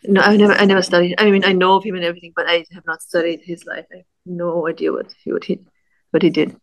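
A woman in her forties with a German accent, talking as an interview guest with halting, restarting speech and frequent laughs, her speech affected by illness. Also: on the low side, little pitch movement, low-quality recording. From Austria. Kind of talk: casual interview conversation.

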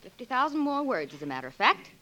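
An actress playing a brisk, sharp-tongued young newspaperwoman with snappy retorts in a 1940s radio drama. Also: sits high, big pitch swings, full character voice. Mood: tart, guarded. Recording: noisy old 1940s radio recording.

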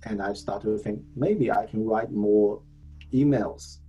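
A man whose first language is Chinese speaks as a guest on an online video call, talking in halting phrases with many fillers and restarts. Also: lowish voice, warm tone, faint music behind. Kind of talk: online talk answering a host.

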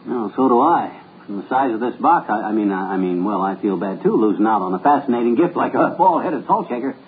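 An actor playing a blustery, folksy middle-aged husband in 1940s radio comedy. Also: male voice, lowish voice, animated, fairly quick, lightly acted. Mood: cheerful. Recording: tinny old radio broadcast with faint hiss.

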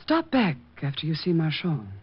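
A woman's voice: sits low, sing-song, normal speed, slightly rough, exaggerated voice. An actress playing a flirtatious woman at a hat salon in a 1950s radio drama, coy and teasing. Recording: dull old radio broadcast.

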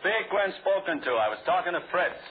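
A tired, wisecracking American radio actor in a 1940s drama. His voice sits a little high and swings expressively as he gripes in slang. The sound is narrow and faintly hissy, like an old broadcast. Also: sing-song, fairly quick. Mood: weary, sardonic. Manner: griping, slangy, sardonic.

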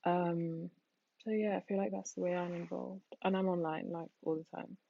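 A woman's voice: fairly low pitch, steady tone, moderate pace, vocal fry, dark tone, dry recording, lo-fi sound. A young woman from Nigeria co-hosting a podcast, chatting casually and animatedly with many "like"s. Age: young adult. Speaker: podcast co-host. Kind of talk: casual chat. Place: London.

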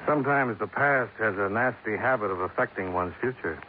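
Actor as wry American detective, noisy old radio. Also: male voice, fairly low pitch, brisk, narrow, tinny audio, lightly acted. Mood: dry, suspicious. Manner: dry, wry, clipped. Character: wry, suspicious tough male insurance investigator. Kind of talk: 1950s radio detective narration and dialogue. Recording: noisy, thin 1950s radio recording.

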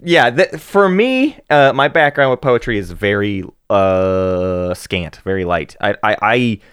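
A man in his thirties co-hosting a casual book podcast, talking with animated energy, laughing and often restarting his sentences. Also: lowish voice, unhurried, clear, resonant tone, dry recording, clean studio sound. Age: 30s. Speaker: podcast co-host.

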